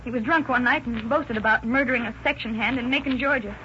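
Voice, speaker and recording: A woman's voice: fairly high pitch, fast, close to an everyday voice. A woman playing a frightened, stammering wife. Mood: anxious. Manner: trembling, stammering, rising to panic. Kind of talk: 1930s radio drama acting. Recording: dull old radio recording with hiss.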